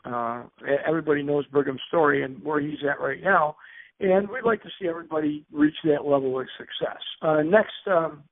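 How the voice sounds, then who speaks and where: Over a narrow, tinny telephone conference line comes a man with a slightly high-pitched voice. He leads an informal business presentation, moving through it haltingly and hesitantly, often doubling back to restate things. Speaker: company presenter leading a dealer conference call.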